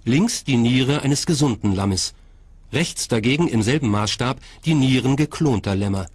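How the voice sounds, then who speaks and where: A man's voice: mid-range voice, expressive, normal speed, breathy edge, dry recording. A man reading scripted documentary narration as the narrator. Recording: music bed behind narration. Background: background music.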